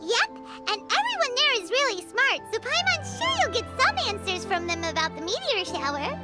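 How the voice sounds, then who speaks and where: A woman voicing a small, squeaky, childlike fairy companion in a video game, excitable, chirpy and a little cheeky. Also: normal speed. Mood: cheerful, reassuring. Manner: excitable, exclamatory, speaks of self in third person. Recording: loud jingly music behind the voice.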